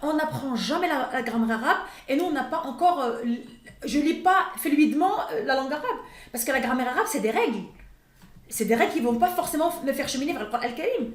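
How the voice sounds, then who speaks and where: High-voiced woman teacher, echoey room. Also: expressive, fast. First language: Arabic. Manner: hesitant, many fillers and restarts, laughs often. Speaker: Quran teacher, guest. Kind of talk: casual interview talk.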